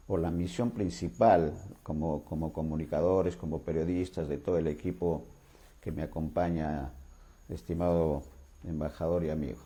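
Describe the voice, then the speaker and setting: A man's voice: sits low, mellow, slightly rough. A man hosting an interview, courteous, with frequent fillers and restarts. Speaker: talk show host.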